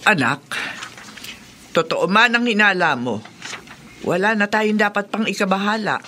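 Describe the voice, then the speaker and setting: A woman's voice: mid-range voice, lively intonation, measured pace, pushed and bright, slight background noise. An actress playing a worried, soothing middle-aged mother in a Filipino radio horror drama, fussing and reassuring with a Filipino accent. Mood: soothing concern.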